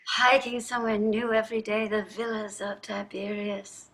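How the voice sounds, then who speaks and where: A woman's voice: mid-range voice, flat delivery, normal speed, fairly bright tone, natural voice, rough recording. An older woman playing a wealthy, weary and sardonic wife and mother in a staged play reading, pointed and dramatic in her delivery. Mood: nostalgic.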